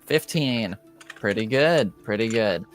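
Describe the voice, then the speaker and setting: A man serves as game master, narrating a tabletop roleplaying session at an unhurried, drawn-out pace. He talks in a loose, halting way and fills his pauses with ums and likes, while faint music plays quietly behind him. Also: fairly low pitch. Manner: relaxed, hesitant, full of ums and likes.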